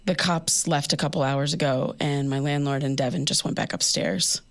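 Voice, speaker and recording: A woman acting an anxious, sleepless young woman who rambles haltingly in a naturalistic audio diary drama. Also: low-pitched, steady tone. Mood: weary, recounting. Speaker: lead actor in a fiction podcast.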